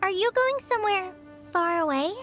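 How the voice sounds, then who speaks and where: A bright, eager young apprentice. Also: female voice, extremely high pitch, expressive, moderate pace. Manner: earnest, eager and a little unsure. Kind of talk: game dialogue voice acting. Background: game music.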